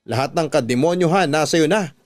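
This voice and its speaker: A man's voice: a little high, fairly quick, no room sound, lightly acted. A man voicing an anguished, defiant betrayed wife in a radio drama, pleading and accusing emphatically. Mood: defiant, scornful. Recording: music bed under the voice.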